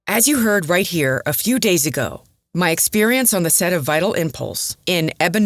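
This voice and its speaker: Female radio investigator played by an actress, serious and measured, lively intonation, faint music underneath. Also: fairly low pitch, dry recording. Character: earnest woman radio investigator and interviewer. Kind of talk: scripted radio documentary narration in audio drama.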